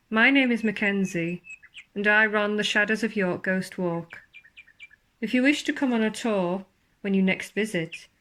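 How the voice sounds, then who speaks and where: A woman narrates a ghost story in a theatrical, suspenseful manner, with deliberate pauses and formal, old-fashioned wording. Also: medium pitch, fairly bright tone. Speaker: ghost walk guide and storyteller. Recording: clear background ambience under the voice. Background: spooky horror ambience sounds.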